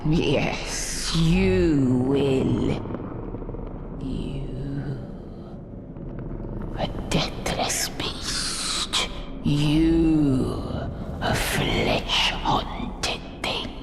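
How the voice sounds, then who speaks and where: An actor playing a menacing undead lord in a fantasy video game, ominous and commanding, slipping into hissed whispers. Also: male voice, mid-range voice, extremely slow. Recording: long reverb, clear background noise.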